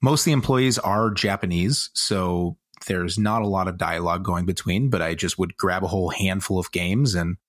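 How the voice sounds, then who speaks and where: A man's voice: sits low, moderate pace, few pauses, dry recording, studio quality. A man co-hosting a casual podcast, explaining things in an eager, descriptive way. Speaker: podcast co-host.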